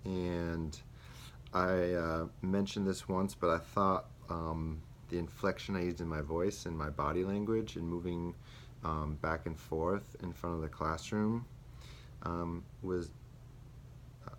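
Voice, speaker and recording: A young man, a student teacher, reflecting aloud on a lesson he taught, with many pauses and fillers. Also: low voice. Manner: hesitant, many ums and restarts. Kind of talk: spoken self-reflection. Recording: faint background noise.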